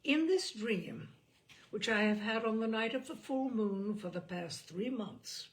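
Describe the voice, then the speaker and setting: A woman hosting a reading series, speaking in an expressive, deliberate, theatrical storytelling style. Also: on the high side. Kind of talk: expressive literary reading with chatty introduction.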